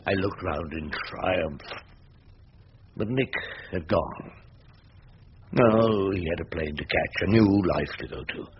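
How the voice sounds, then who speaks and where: An actor playing a wry, stubborn, eccentric older English barrister in British radio drama, theatrical and musing, with old-fashioned turns of phrase. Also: male voice, low voice. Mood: wry, reflective. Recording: dull, slightly noisy radio recording.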